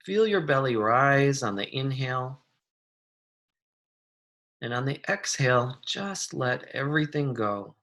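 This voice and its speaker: A meditation guide with a mid-pitched voice leads a guided practice. The delivery is gentle and soothing, with unhurried phrases and long pauses between instructions. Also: expressive.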